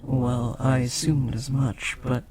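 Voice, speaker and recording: A man playing a devoted, lovestruck demon in an intimate audio roleplay, speaking formally, earnestly and with breathy hesitation. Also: medium pitch, little pitch movement, slow pace, darkish tone, a little hiss or hum, close to an everyday voice. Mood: hesitant, hopeful. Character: devoted, lovestruck powerful demon bound to a sorcerer.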